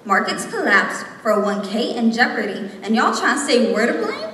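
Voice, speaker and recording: A woman's voice: a little high, sing-song, normal speed, a bit bright. A young woman reciting slam poetry with an impassioned, rhythmic, emphatic delivery. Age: young adult. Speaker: slam poet. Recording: echoey hall, distant mic, faint noise.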